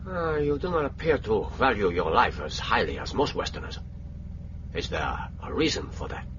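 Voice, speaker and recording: An actor playing a courteous, commanding spy chief in a radio drama, with formal, deliberate phrasing. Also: male voice, fairly low pitch, big pitch swings. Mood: probing, formal. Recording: dull, noisy radio drama recording.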